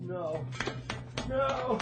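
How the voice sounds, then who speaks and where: A woman playing a shaken, frightened Navy chief in a radio drama, speaking haltingly. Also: on the high side, lively intonation, over loud music. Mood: frightened, haunted. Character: shaken, haunted Navy chief named Bell.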